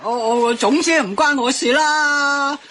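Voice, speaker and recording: An actress playing a defensive, middle-aged Hong Kong teahouse waitress in a radio comedy, insistent and quick to put the blame on others. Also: female voice, sits high, bright, forceful tone, a little hiss or hum. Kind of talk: Cantonese radio comedy acting.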